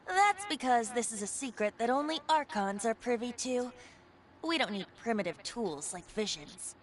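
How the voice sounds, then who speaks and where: A woman voicing a carefree boyish bard who is secretly an ancient wind god, speaking lyrically and with measured, wistful storytelling in a video game. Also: on the high side, lively intonation. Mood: calm, explaining. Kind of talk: video game character dialogue. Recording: music behind the voice.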